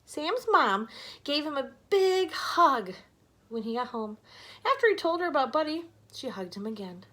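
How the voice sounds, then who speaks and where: A woman's voice: sits high, very animated, unhurried, bright, forceful tone. A woman reading a scripted children's story aloud as narrator. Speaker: storybook reader.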